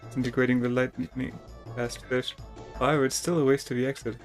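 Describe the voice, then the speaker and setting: A man's voice: medium pitch, flat delivery, fairly quick, soft background music. A man reading a text adventure game aloud in a dramatic storytelling way, now and then tripping over words. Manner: theatrical, stumbles over words while reading.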